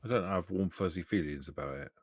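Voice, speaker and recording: Male player, deep dry voice, blunt grumbler, casual in-character chat. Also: quick pace, no room sound. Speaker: tabletop roleplay player. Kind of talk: casual in-character game talk.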